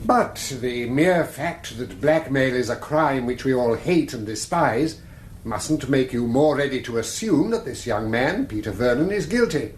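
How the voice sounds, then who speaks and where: An actor playing a grave, fair-minded English prosecuting barrister, speaking with deliberate, formal persuasion in a radio drama courtroom. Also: male voice, mid-range voice, close to an everyday voice. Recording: echoey courtroom sound, faint noise.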